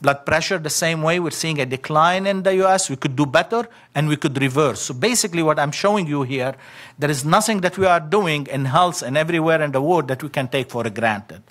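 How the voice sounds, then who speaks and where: A middle-aged man giving an academic conference talk in short, halting phrases with frequent fillers. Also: a little high, fairly bright tone. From Lebanon. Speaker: conference speaker. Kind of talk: academic slide presentation.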